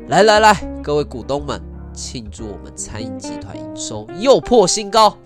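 A man's voice: fairly high pitch, sing-song, moderate pace, faint music behind. Man acting a smug gourmet tycoon, theatrically swinging voice. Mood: gloating, boastful. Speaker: actor and first-person narrator. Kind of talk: audio drama monologue.

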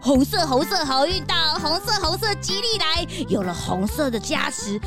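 A young cartoon sidekick with a very high voice that leaps around freely. The character is naive, curious and excitable, exclaiming dramatically at everything in a children's detective story, with music underneath. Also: female voice, normal speed. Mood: delighted. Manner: exclamatory, wide-eyed, dramatic reactions.

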